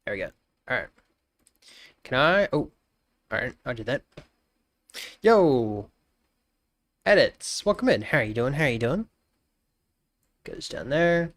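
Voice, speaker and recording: A man's voice: mid-range voice, very animated, slow pace, long pauses, dry recording. Joking young man chatting with his stream. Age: 20s. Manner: joking, greets chat warmly, trails off into mumbles. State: blocked nose. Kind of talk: casual live-stream chat.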